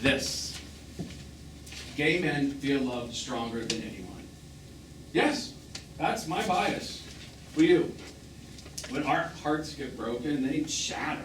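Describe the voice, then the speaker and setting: A man's voice: mid-range voice, expressive, slow pace, long pauses. An actor on stage playing a bitter, wounded man in his fifties, sarcastic and theatrical, as a jilted lover in a live drama. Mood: impassioned, aching. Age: 50s. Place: small theatre, recorded from the audience. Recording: echoey, noisy stage recording from far off.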